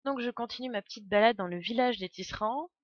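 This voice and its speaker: A woman's voice: on the high side, fast talker, dry recording, muffled recording. A woman with a cold, thinking aloud as she gives casual live game commentary with chatty asides to viewers. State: has a cold. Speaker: game streamer. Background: faint game music.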